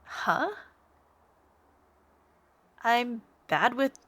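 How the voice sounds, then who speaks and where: A woman's voice: fairly high pitch, animated, bright, forceful tone, dry recording, heavily acted. A woman playing a smitten, sweetly menacing young supervillain stalker in an intimate ASMR roleplay, coy and theatrical, sometimes murmuring. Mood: infatuated, teasing. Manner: coy, unhurried, theatrical, lapsing into breathy murmurs.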